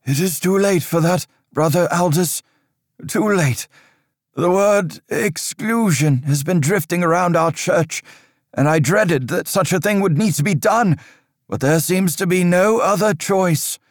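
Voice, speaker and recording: Man voicing a shrill, quivering old priest. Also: unhurried, no room sound, studio quality. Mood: fearful. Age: older. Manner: accusing, preachy. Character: old fearful male priest, shrill and pious.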